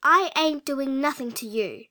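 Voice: high-pitched, measured pace, sharp tone, pitched above the performer's own voice, heavily acted. A performer voicing a fierce, miserable little orphan girl in a storybook, defiant and sullen, with a working-class London accent. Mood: defiant, wretched. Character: fierce, miserable orphan Cockney street girl, young child.

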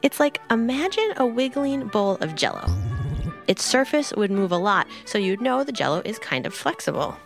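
Lively woman narrating a science show. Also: fairly high pitch, normal speed, gravelly, faint music behind. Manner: bright explainer, playful analogies. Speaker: podcast host. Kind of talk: scripted science narration for kids.

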